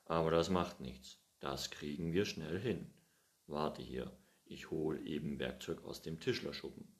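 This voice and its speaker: A man's voice: very deep voice, unhurried. A man voicing an absent-minded, tidy old farmer who grumbles and scolds his cat in a storybook reading. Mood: grumbling. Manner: loud, grumbling, muttering hm.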